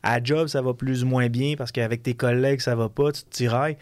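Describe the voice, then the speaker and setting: A man's voice: medium pitch, steady tone, fairly quick, no room sound. A man with a Quebec French accent, hosting an interview and reflecting in a conversational way. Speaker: host.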